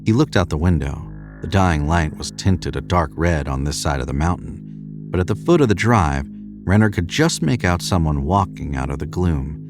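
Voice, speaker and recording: Very deep-voiced male horror narrator. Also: expressive, normal speed, over music. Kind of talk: dramatic scripted horror narration.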